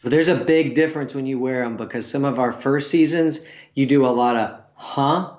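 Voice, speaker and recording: A man's voice: mid-range voice, normal speed, a little mellow, lo-fi sound. A man co-hosting a casual live stream, chatty and giggly, who likes to tell stories. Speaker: co-host.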